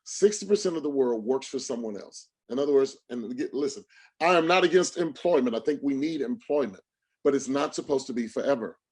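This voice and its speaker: A man's voice: medium pitch, expressive, fairly quick, a little mellow, slightly rough. A man giving a motivational sales talk on a livestream as a coach, speaking emphatically with preacher-like repetition and rhetorical questions.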